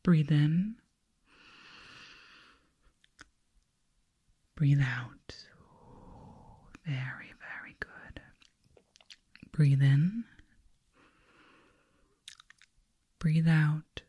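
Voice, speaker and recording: A calm alien girl played by a woman hypnotizes her human captive in an ASMR roleplay. Her voice is low, soft and mellow, mostly whispered with a breathy edge. She gives soothing commands with quiet, measured authority. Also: heavily acted. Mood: soothing, commanding.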